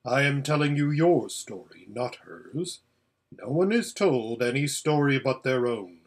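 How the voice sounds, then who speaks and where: Man voicing a slow, regal lion. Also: medium pitch, animated. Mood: firm, gentle. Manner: slow, measured, commanding. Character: great solemn male lion, regal, stern yet tender.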